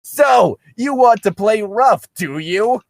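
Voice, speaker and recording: A man acts out a menacing evil double of a fantasy hero. The voice sneers and drawls slowly through each threat, pitched up from normal talk. It is close and dry. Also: lively intonation, dry recording, exaggerated voice. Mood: evil taunting. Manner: slow, drawling taunts. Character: sneering evil dark doppelganger villain swordsman, menacing.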